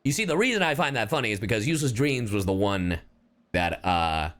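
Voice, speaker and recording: Animated, slightly low-voiced male game streamer. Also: brisk. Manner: irreverent, joking, swearing, slangy. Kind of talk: casual livestream chat and game commentary.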